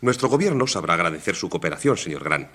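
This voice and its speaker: An actor in a radio drama playing a stern, solemn government chief with a husky voice and formal, commanding delivery. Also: male voice, low voice, big pitch swings, fast talker, a bit bright, exaggerated voice.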